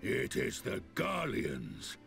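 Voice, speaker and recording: A proud beastman war leader, speaking very slowly in solemn, formal phrases. The voice is extremely gravelly and swings little in pitch, as in a fantasy game cutscene. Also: wildly swooping pitch, fairly bright tone, faint music behind, heavily acted. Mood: proud, resolute. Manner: slow, grave formal oratory. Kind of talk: video game cutscene acting.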